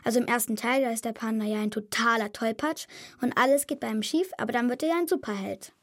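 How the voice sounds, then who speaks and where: A woman's voice: on the high side, fast talker, no echo, clean studio sound. A girl, still a child, chatting casually as a young guest on a podcast. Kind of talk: casual chat.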